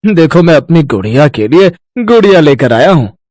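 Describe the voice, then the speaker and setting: A man's voice: a little high, big pitch swings, moderate pace, darkish tone, dry recording, studio quality. A man voicing a doting village farmer father in a cartoon story, tender and earnest. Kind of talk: Hindi moral-story cartoon voice acting.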